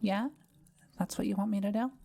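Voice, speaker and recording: A woman voicing a kind, calm, scholarly forest witch in an intimate audio roleplay, explaining things in a gentle, measured way. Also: medium pitch, vocal fry. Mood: gentle, considering.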